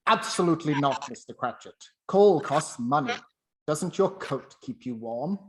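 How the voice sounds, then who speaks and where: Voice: medium pitch, lively intonation, unhurried, natural voice. A performer playing a miserly, bitter old businessman in a radio play, curt and scornful. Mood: stern, scornful.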